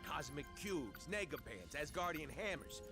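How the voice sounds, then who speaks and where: Brash wisecracking raccoon crook for a game, higher-pitched, lively delivery, loud music behind. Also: male voice, unhurried. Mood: sarcastic. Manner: cocky, sarcastic, streetwise. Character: brash wisecracking small raccoon thief and tinkerer. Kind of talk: animated video game cutscene acting.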